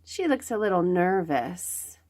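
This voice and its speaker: A woman's voice: fairly low pitch, big pitch swings, a little slow, a little mellow. A woman hosting a children's read-aloud, reading a picture book and chatting to the listener about the pictures. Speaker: host of a children's read-aloud library show.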